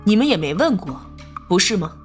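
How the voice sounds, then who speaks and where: A woman playing a haughty middle-aged school principal, a villain in an animated drama, condescending and coolly challenging. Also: mid-range voice, fairly quick, faint music behind, close to an everyday voice. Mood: mocking, defiant.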